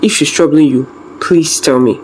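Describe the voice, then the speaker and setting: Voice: medium pitch, normal speed, a little mellow, lightly acted. Protective young husband, acted by a storyteller, earnest and concerned, folktale drama, noisy recording. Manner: urgent, concerned, repeating words.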